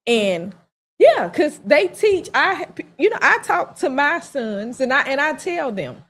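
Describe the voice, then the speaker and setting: A woman's voice: high voice, sing-song, takes it slowly, a bit bright. Mom talking on a radio show, earnest, keeps stacking up "we want this, we want that" to drive her point home. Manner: earnest and persuasive, building her point in repeated "we want" phrases. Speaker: mother. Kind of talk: casual radio talk-show discussion.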